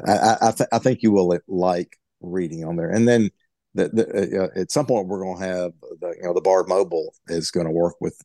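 A man's voice: sits low, mellow, no room sound. A man in a book group discussion, talking bluntly and stumbling over many repeated words. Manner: halting, many stammered repeats; blunt and opinionated.

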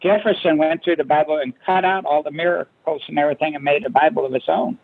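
Man, husky, slightly high-pitched, halting, casual discussion, muffled lo-fi audio. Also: steady tone. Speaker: book group participant.

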